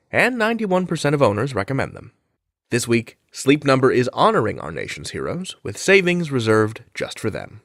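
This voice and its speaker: A man's voice: medium pitch, a bit bright, no room sound, clean studio sound. A man working as an announcer, delivering a scripted advertisement read for a podcast sponsor.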